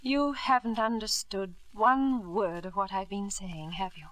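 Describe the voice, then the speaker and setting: An actress playing a proud, disillusioned middle-aged wife and mother, crisp and reproachful, in a 1950s radio drama. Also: medium pitch, fairly even intonation, measured pace, fairly bright tone, lightly acted, low-quality recording. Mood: scornful, weary. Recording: vintage 1950s radio broadcast.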